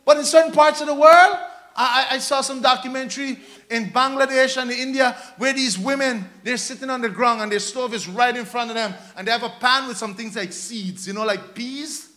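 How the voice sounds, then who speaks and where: Guyanese man, pastor at the pulpit. Very high-pitched, forceful delivery, exhorting and driving phrases home by repetition. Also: a little fast. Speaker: pastor preaching. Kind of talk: sermon. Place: church stage, indoors. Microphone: handheld microphone.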